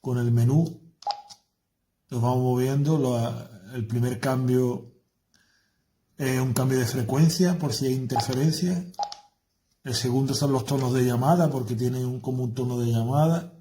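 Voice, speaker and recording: A man's voice: mid-range voice, steady tone, normal speed, pauses often. A man informally demonstrating a product as a reviewer, explaining it step by step. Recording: faint background noise with clicks.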